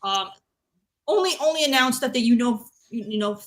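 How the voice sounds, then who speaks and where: Female panelist, fairly high voice, informal and blunt, casual storytelling. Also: animated, normal speed. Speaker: podcast panelist. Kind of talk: casual chat.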